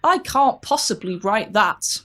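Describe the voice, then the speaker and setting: A woman's voice: medium pitch, very animated, unhurried, pushed and bright. A woman voicing an elderly good witch who writes children's books, in a dramatic storybook delivery. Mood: dismayed.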